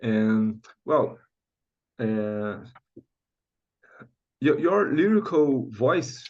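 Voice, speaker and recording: Halting male interviewer, dull lo-fi audio. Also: mid-range voice, sing-song. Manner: halting, searching for words. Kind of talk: interview questions.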